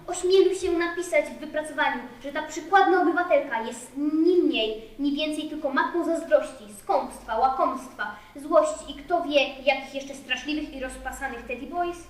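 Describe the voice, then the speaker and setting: A stern courtroom judge acted by a female amateur speaks high and formally, questioning and pronouncing in a school radio play. The voice is distant, in an echoey, noisy room. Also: normal speed, hardly any pauses, lightly acted. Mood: stern, indignant. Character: stern judge presiding over a comic trial. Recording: echoey room, distant mic, background noise.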